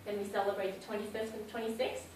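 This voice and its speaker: A woman's voice: medium pitch, level tone, fast talker, darkish tone, a little hiss or hum. A woman from Germany, describing her own experience in simple, step-by-step English. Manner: simple plain phrasing, explains step by step. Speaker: panelist. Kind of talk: personal account on a panel. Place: large hall. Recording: echoey hall, mic far off.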